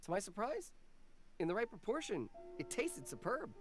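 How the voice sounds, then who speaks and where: Woman as a very animated, humble game shopkeeper. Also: mid-range voice, normal speed, faint music behind, natural voice. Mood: reflective. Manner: hesitant, deferential, earnest. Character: humble, flustered female snack vendor, earnest and deferential. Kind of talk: video game voice acting.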